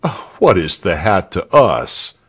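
A man's voice: low-pitched, sing-song, takes it slowly, fairly bright tone, husky, heavily acted. A man voicing a proud, fussily polite storybook father who is pompous and easily offended. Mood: dismissive.

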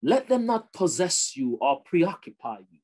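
A middle-aged man delivers a sermon from a small office. His pitch rises and falls dramatically as he stresses key words. He preaches emphatically, with rhetorical questions and deliberate repetition. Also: sing-song, a little mellow. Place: small office with bookshelves, indoors. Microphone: webcam-style desktop setup at arm's length.

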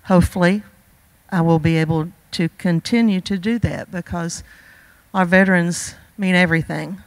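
Slow, earnest older woman at a podium. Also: on the low side, no echo. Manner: earnest, with many fillers and pauses. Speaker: teacher presenting awards. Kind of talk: heartfelt speech at a school ceremony. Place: school auditorium stage at a podium. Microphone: podium microphone.

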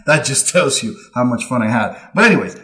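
A man's voice: mid-range voice, a little fast. Chatty Puerto Rican man on a headset. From Puerto Rico. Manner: chatty, animated with hand gestures, laughs often. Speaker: insurance agency owner, guest. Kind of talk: casual interview storytelling. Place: home office. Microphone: headset with boom mic on video call.

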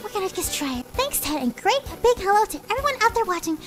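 A sweet little cartoon pony girl, with a tiny, squeaky, extremely high voice. She is excitable and nervous, gushing and stammering like a small child while she chats through a game, with music clearly playing behind her. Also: fast talker. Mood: nervous, chatty. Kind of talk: in-character let's play commentary.

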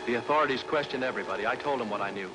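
A man's voice: medium pitch, rapid-fire, natural voice, rough recording. A man voicing a hunted young American worker. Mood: tense. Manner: earnest, urgent, dramatic. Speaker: trailer narrator in character. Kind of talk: 1940s film trailer narration. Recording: old film soundtrack with music.

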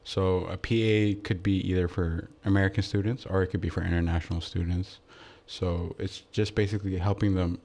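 Young man from Ethiopia, deep flat voice, relaxed student guest. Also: a little fast, gravelly, hardly any pauses. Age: young adult. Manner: relaxed, explanatory. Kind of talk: casual interview answers.

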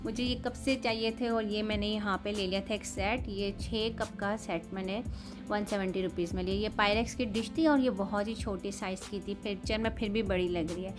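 A woman in her thirties with a Pakistani Urdu accent talks through her shopping haul in a relaxed, chatty way. She judges each item's price and quality with plain frankness, her voice sitting at a middle pitch. Loud music plays under the voice-over. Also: moderate pace. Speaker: vlogger. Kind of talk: casual shopping haul vlog.

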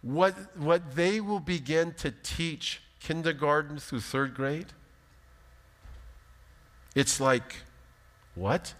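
Animated man preaching in a reverberant hall. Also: on the high side, slow pace, pauses often. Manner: animated, emphatic preaching with big gestures. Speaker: pastor. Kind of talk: sermon. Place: large church stage, indoors.

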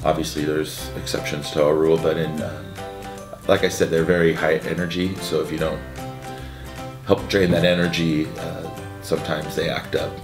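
Low-voiced guy in an interview, lots of uhs and restarts, music under it. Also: little pitch movement, roomy sound. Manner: halting, many restarts and uhs. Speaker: event co-founder. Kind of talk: casual interview answers. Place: indoor room by a window, seated.

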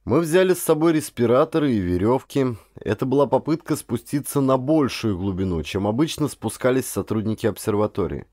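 A man's voice: medium pitch, expressive, brisk, few pauses, darkish tone, dry recording, clean studio sound. A man, a professional actor, speaking as an audiobook narrator with a dramatic storyteller's delivery. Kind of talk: dramatic scripted audiobook narration, then direct address to listeners.

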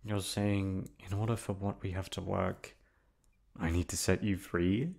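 A man voices an obsessive young prince in an ASMR roleplay. His voice sits low with a gravelly fry, and his wording is formal and stilted, the speech of a lonely captor used to giving orders. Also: fairly even intonation, a little slow, a little mellow, heavily acted. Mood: cold, commanding. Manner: formal, stilted diction, swinging from tender to commanding. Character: possessive, lonely, obsessive young prince holding a captive lover.

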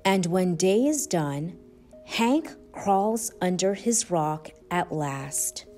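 A woman storyteller reading a children's picture book aloud with deliberate, expressive phrasing. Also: lowish voice, soft background music. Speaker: storyteller and channel host.

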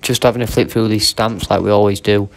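Low-voiced English man, chatty vlogger. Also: steady tone, fairly quick. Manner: chatty, informal, addresses viewers as guys. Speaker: video host and reseller. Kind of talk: casual vlog commentary to viewers. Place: indoor auction warehouse.

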